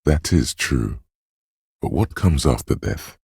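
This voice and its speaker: An extremely deep, heavily husky inner voice for a video game, brooding and dreamlike. It speaks slowly and solemnly, like intuition whispering ominous poetry to its owner. The recording is close and completely dry. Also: male voice, lively intonation, darkish tone, no room sound, full character voice. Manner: slow, solemn, ominous, poetic. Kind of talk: scripted video game narration, second person.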